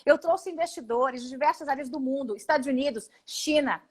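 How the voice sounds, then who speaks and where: A woman in her forties with a Brazilian Portuguese accent, a congresswoman giving a live video interview in an emphatic, indignant way, often speaking in rapid lists. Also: sits high. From Paraná, Brazil. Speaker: congresswoman guest. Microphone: video call.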